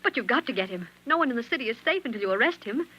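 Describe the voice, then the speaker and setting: High, tense anxious melodrama wife. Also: female voice, lively intonation, very fast, pushed and bright, breathy edge, muffled recording, heavily acted. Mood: frantic pleading. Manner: melodramatic, earnest. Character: anxious, pleading, deceitful wife hiding her guilt. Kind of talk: 1940s radio melodrama acting. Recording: vintage 1940s radio recording.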